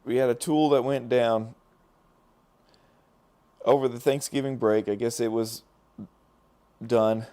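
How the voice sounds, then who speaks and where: Middle-aged man, hoarse voice, medium pitch, rambling live-stream chat, lots of fillers. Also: steady tone, takes it slowly, pauses often, dark tone. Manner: rambling, many fillers and restarts, chatting with viewers. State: hoarse, losing his voice. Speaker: dental lab technician hosting a live stream. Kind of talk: casual live-stream chat while working.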